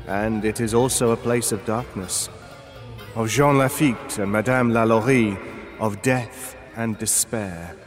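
Slow, deep-voiced ominous stranger played by a man. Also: big-room sound, soft background music, close to an everyday voice. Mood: brooding, ominous. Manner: deliberate, theatrical, foreboding. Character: mysterious male Stranger, ominous, dry, pedantic, unhurried. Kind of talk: old-time radio horror acting.

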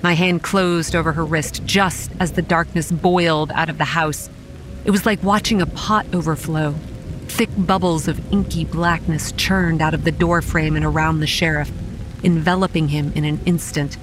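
Horror audio drama lead: actress as young woman, haunted and wry, measured first-person narration over quiet music and rain. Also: sits low, fairly even intonation, lightly acted, poor audio. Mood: grave, haunted. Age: young adult. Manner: grave, measured storytelling with dry sarcastic asides.

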